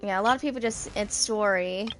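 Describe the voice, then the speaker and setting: A woman's voice: medium pitch, fairly quick, soft background music. A woman in her twenties chatting casually to viewers as she streams a game, rambling and thinking aloud. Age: 20s. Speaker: streamer playing a video game.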